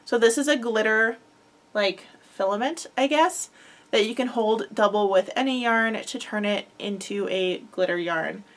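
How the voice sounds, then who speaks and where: A woman's voice: on the high side, a little slow. Young woman rambling about crochet stuff, kinda stuffy from a cold, lots of ums and likes. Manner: chatty, rambling, filler-heavy with frequent likes and ums. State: congested from a head cold. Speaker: crochet podcast host. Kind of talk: casual chat.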